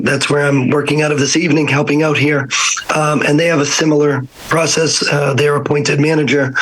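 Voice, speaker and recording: A hoarse man, an elections official, answering questions remotely at a council meeting, halting with many fillers. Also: fairly high pitch, a bit bright, rough recording. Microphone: video call.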